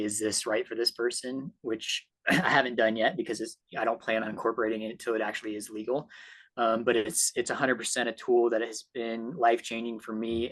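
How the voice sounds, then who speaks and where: A man's voice: medium pitch, a bit bright, low-quality recording. A man speaking earnestly as a guest coach in a conversational discussion, often checking in with a tag question. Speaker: guest trauma-healing coach.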